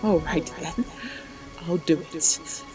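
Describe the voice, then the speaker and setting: Elderly pony granny voiced by a woman, gentle and reflective, slow delivery, music underneath, cartoon audio drama. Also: low voice. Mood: reflective, awed. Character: elderly grandmother pony reincarnated as a tortoise.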